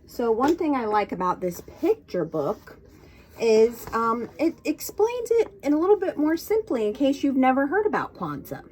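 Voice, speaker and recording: A woman leading a children's storytime with a fairly high voice that swings widely in pitch. She reads very slowly and expressively, in a sing-song, encouraging teacher's manner, inviting little listeners to join in. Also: a little mellow, a little hiss or hum. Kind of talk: interactive read-aloud to young children.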